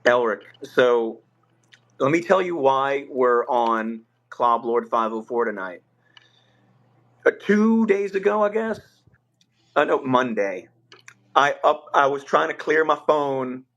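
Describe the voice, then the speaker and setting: A young man hosts a livestream in a loose, rambling way, full of filler words. His voice sounds a little rough and hoarse, and the audio is slightly muffled. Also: mid-range voice, expressive, slow pace, long pauses, fairly bright tone. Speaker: livestream host. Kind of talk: casual livestream chat.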